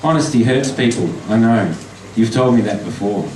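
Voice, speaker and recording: An Australian man voicing a wistful, tempting woman in his story, softly and only slightly raised from his own voice. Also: mid-range voice, normal speed, natural voice. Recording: echoey pub from far off with noise.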